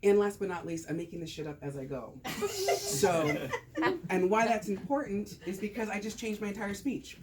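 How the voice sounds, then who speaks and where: A man's voice: high voice, big pitch swings, normal speed, over a noisy crowd. A man talking casually to a small group, then presenting on taxes with jokes and rhetorical questions. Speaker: tax advisor giving a talk.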